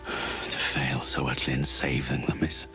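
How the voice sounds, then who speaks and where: A very deep, gravelly grieving warrior. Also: male voice, flat delivery, moderate pace, sharp tone, soft background music, heavily acted. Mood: mournful, doubtful. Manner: solemn, heavy. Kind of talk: dramatic fantasy video game acting.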